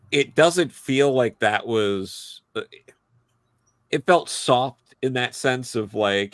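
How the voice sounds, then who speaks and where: A man with a lowish voice talks through his opinions as a review panelist. He circles around his points in a halting, rambling way, and the sound is close and dry. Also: fairly bright tone, dry recording. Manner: halting, rambling, hedging delivery. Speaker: podcast panelist reviewing an audio drama. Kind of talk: casual opinionated review discussion.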